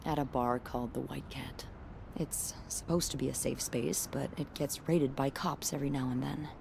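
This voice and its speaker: Low-voiced, confiding woman. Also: steady tone, creaky, noisy background. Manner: halting, confiding. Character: guarded, caring woman opening up to a friend. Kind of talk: naturalistic video game acting.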